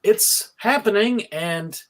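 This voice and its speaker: A man from Alabama with a high, bright, forceful voice hosts a fan panel. He talks casually and jokes along with plenty of exclamations. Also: slow. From Alabama, United States. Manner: exclamatory, joking. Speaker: host of a fan convention panel. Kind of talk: casual animated panel chat.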